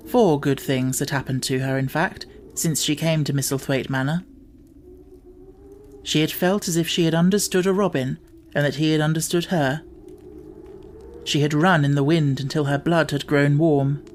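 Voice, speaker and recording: A man's voice: fairly high pitch, slight background noise. A man reading a children's novel aloud as scripted audiobook narration.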